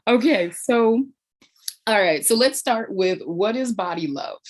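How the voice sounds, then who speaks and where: A woman with an average-pitched voice hosts a conversational interview. She sounds effusive and affirming, talking in a casual, informal style. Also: lively intonation, a little slow. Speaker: podcast host.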